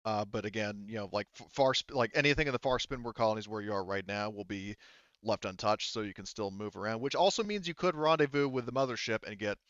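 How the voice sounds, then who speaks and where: A man narrating a tabletop roleplaying game as game master in a halting, conversational way, often relaying what characters say. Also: lowish voice, a bit bright, clear, resonant tone, dry recording, muffled recording.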